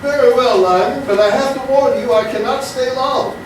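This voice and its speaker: A man's voice: very high voice, moderate pace. Man acting a dreamy genteel tippler, stage comedy, courtly storytelling, noisy echo-filled room. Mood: polite. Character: gentle, courtly, dreamy male barfly with an imaginary rabbit friend. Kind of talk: theatrical comedy monologue. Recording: distant, very echoey, noisy stage recording.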